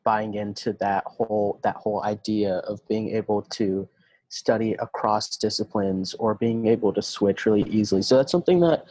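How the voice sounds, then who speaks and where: A man co-hosting a podcast, asking a guest questions in a measured way, with "uh" and "um" fillers. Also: on the low side, a little mellow, poor audio. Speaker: podcast co-host. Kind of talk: interview questions.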